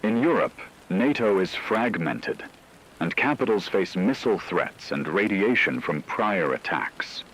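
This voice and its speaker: A man playing a grave radio news anchor, delivering a scripted dramatic war broadcast in a solemn, measured, emphatic way. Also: fairly low pitch, lively intonation, a bit bright, a little hiss or hum, poor audio. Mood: grave, urgent.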